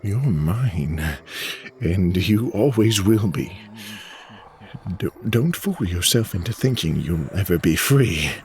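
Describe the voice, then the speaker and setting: A man playing a menacing, possessive demon creature in an audio drama, with a dark voice and a gloating, threatening manner. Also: animated, slow pace, heavily acted. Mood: possessive threat. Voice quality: deep, dark voice. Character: menacing, possessive demon creature embodying depression, taunting its victim.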